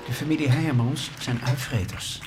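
A man's voice: mid-range voice, steady tone, normal speed, a little mellow, natural voice, low-quality recording. An actor playing an exasperated, grumbling man fed up with demanding guests in a Dutch radio drama. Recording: music behind the voice.

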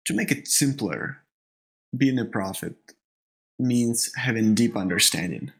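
A man with a heavy Russian accent, a native Russian speaker, co-hosting a spiritual discussion podcast and often halting to repeat words as he searches for phrasing. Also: medium pitch, expressive, slightly rough. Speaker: podcast co-host.

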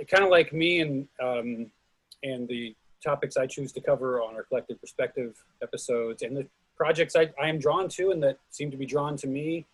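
Man, medium pitch, dry close sound, casual host moderating a discussion. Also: no room sound.

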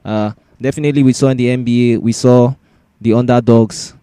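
A man's voice: medium pitch, steady tone, a little fast, dark tone, clean tone, dry recording, clean studio sound. A man hosting a casual sports discussion podcast, often repeating words and phrases for emphasis.

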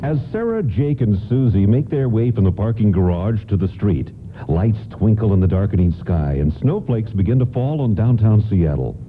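A man's voice: on the low side, expressive, normal speed. A man working as a radio announcer, reading polished scripted narration and promos. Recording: narrow-band radio broadcast over loud music. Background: prominent music.

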